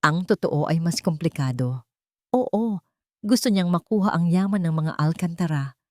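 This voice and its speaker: Filipino lady narrating a dramatic love story, lowish voice, really dry close sound. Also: normal speed, no room sound. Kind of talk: dramatic scripted romance narration.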